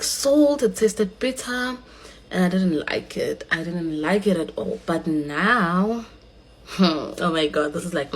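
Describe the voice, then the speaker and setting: A young woman from South Africa chatting casually to camera in a vlog, animated and drawn out on the words she stresses. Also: lowish voice, slightly rough, low-quality recording. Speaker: vlogger.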